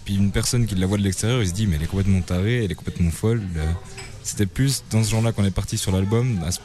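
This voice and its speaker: A man's voice: on the low side, rapid-fire, mellow. A man, a rock band member, explaining the band's music in an easygoing way. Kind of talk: casual interview answers.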